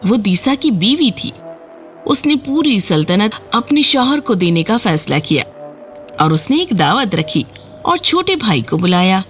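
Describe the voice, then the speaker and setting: A woman tells a children's fairy tale as a scripted narration. Her pitch moves in a lively, animated way, and music plays behind her. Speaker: storyteller.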